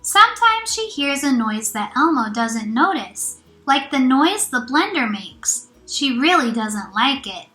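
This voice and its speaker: High, bright woman reading slowly to children. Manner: expressive, child-directed, with encouraging asides. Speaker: teacher reading aloud. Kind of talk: children's storybook read-aloud. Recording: roomy echo, faint music.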